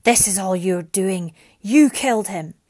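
A woman voicing an enraged, grieving father in a storybook, growling and booming his accusations. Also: measured pace, natural voice. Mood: furious, accusing. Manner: forceful, accusing.

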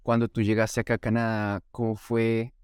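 A man's voice: on the low side, completely flat delivery, normal speed, a little mellow, smooth, no echo, studio quality. A man interviewing a guest, asking questions and agreeing often in short replies. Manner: agreeable, brief backchannel replies. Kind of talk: casual interview.

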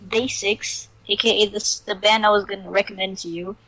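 A woman's voice: mid-range voice, steady tone, moderate pace, a bit bright, no room sound. Young woman chatting casually, rambling. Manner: rambling, restarts often, laughs between thoughts. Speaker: podcast co-host. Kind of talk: casual chat. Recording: lo-fi home recording, rough sound.

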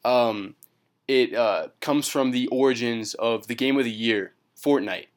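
A man's voice: medium pitch, unhurried. A teenage boy chats as a guest on a friends' podcast. He talks with a dry, teasing tone and mock-formal wording. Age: teens. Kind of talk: casual chat.

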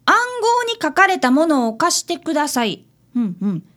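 A woman's voice: sits high, big pitch swings, moderate pace, fairly bright tone. A woman playing an earnest, polite fifth-grade boy detective apprentice in a radio drama, who also narrates. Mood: flustered.

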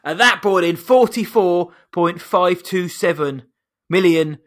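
A man with a high, squeaky voice co-hosts a casual film podcast. His tone is bright and forceful, and he rattles through names and box-office figures in a chatty way, poking fun at himself as he goes. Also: a little slow. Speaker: podcast co-host.

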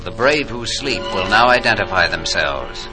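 A man acting as a solemn, reverent narrator in a 1940s radio drama honoring the war dead, speaking in measured oratory. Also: on the low side, very animated, rough recording. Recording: vintage 1940s radio, loud music behind.

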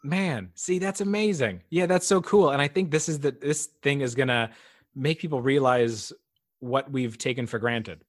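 A man's voice: medium pitch, normal speed, no room sound, clean studio sound. A man in his early thirties in casual chat, talking reflectively with many fillers. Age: 30s.

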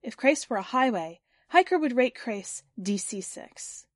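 A woman's voice: on the high side, animated, a little slow. A woman reading scripted fiction and hosting a story podcast. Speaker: podcast host and story reader.

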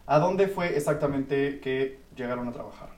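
A host with a Mexican accent asks the guests questions and teases them in easy slang. The voice sounds set back from the mic, with a roomy echo around it. The pitch is in the middle range. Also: moderate pace, a little mellow. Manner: friendly teasing questions to guests. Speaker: podcast host. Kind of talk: casual podcast hosting. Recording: echoey, distant from the mic.

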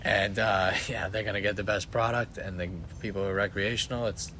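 A man with a low voice rambles through a loose podcast chat, swearing and circling back over his own sentences. A steady hiss of background noise runs under him. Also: brisk. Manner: rambling, profane, restarts often. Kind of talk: casual chat.

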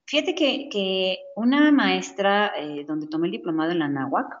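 A woman's voice: medium pitch, moderate pace. A woman explaining healthy habits in a casual chat, restarting phrases and adding fillers as she goes. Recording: dull lo-fi audio.

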